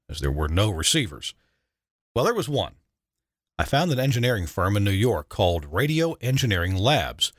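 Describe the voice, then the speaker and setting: Deep-voiced older American man narrating memoirs. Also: fairly bright tone, no echo, clean studio sound. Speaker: retired broadcast engineer telling memoirs. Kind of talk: scripted first-person memoir narration.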